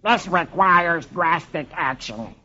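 A man's voice: a little high, expressive, slow, bright, forceful tone, dull audio, full character voice. A man voicing an irritable, exasperated cartoon duck in indignant, emphatic outbursts, on an old radio recording. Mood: disgusted.

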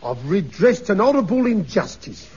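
An actor playing a blustering, pompous middle-aged father in a radio comedy, loud and bossy, mangling long words. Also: high-pitched, sing-song, moderate pace, very noisy. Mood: boastful. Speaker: comic character. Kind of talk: 1950s radio comedy acting. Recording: dull old radio recording with studio audience.

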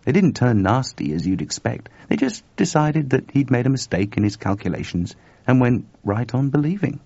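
Male narrator as a wry doubtful engineer guy, grumbly, husky, swingy pitch, dull recording. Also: sing-song, normal speed, mellow, no room sound, heavily acted. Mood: reasoning, unbothered.

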